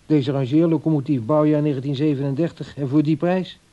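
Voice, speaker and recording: A man's voice: fairly high pitch, steady tone, brisk, warm tone, highs cut off, close to an everyday voice. A man playing a grumbling, self-absorbed husband in a radio play. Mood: grumbling, distracted. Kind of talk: Dutch radio play acting.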